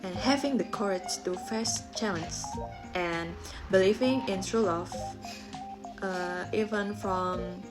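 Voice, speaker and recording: A woman's voice: mid-range voice, slow pace, music in the background, low-quality recording. A young woman giving a scripted storytelling presentation as a student.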